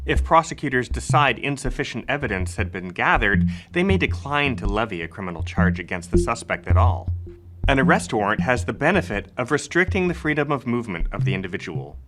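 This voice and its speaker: Formal male narrator, medium pitch, lively intonation, scripted exposition, music clearly behind.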